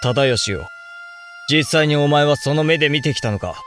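Earnest young samurai lord, formal speech. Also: male voice, fairly high pitch, lively intonation, normal speed, fairly bright tone, faint music behind. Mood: earnest, doubtful. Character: young samurai lord Takauji, earnest, self-deprecating bridegroom. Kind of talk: Japanese period audio drama acting.

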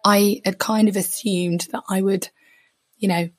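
Hoarse woman guest, therapist, candid and reflective, dry close recording. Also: mid-range voice, steady tone, a little slow, dry recording. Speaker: podcast guest, psychotherapist. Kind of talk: casual interview.

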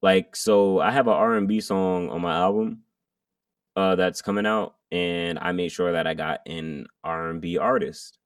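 A man with a very low voice chats casually as a rapper being interviewed. He rambles, full of fillers and restarts. The sound is dry and he sits a little back from the mic. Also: normal speed, clear, resonant tone, no echo, distant mic. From Jamaican heritage. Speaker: guest rapper.

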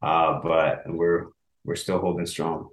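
Deep-voiced man in an echoey room. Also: flat delivery. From El Salvador. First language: Spanish. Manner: earnest, halting with restarts and small laughs. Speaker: guest, author. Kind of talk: casual interview.

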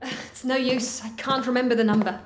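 Audio drama role for a female actor: courteous stranded woman, slightly high voice, natural and apologetic. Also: steady tone, normal speed, slight background noise, natural voice. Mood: polite. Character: polite, resourceful woman asking strangers for help.

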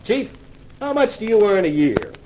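An actor playing a cocky, sardonic newspaper columnist in a 1950s radio detective drama, with a wry, clipped delivery. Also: male voice, high-pitched, huge pitch swings, moderate pace, background noise. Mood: pressing. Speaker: actor playing the lead and narrator.

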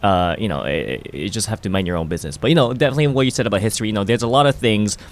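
A man's voice: fairly low pitch, very fast. A young man from Hong Kong, a native Japanese speaker, chatting casually and peppering his talk with filler phrases like "you know". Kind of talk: casual conversation.

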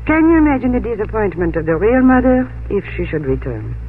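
An actress playing a grave, compassionate French orphanage official with a French accent in a 1950s radio drama. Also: female voice, mid-range voice, sing-song, a little fast, slight background noise, lightly acted. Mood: grave, cautioning. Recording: tinny, band-limited old radio recording.

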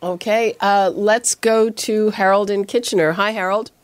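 A woman hosting a radio call-in show in a measured way, with frequent hesitations. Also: mid-range voice, steady tone, no room sound. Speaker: radio host. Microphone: studio microphone.

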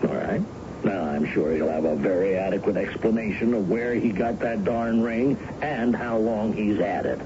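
A man's voice: lowish voice, moderate pace, noisy background, natural voice. An actor playing a sensible, exasperated middle-aged father lecturing his grown daughter in a 1970s radio drama. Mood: stern. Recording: dull 1970s radio broadcast with music.

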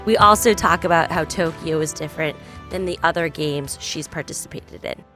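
Woman podcast host, lower voice, earnest, clear music bed underneath. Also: moderate pace, pushed and bright. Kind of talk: scripted podcast introduction and interview questions.

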